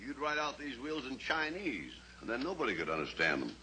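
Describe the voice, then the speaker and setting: An actor playing a blunt, stubborn rancher and father who talks gruffly and plainly in a 1950s radio drama. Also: medium pitch, big pitch swings, brisk, pushed and bright, exaggerated voice. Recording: old radio recording with faint hiss.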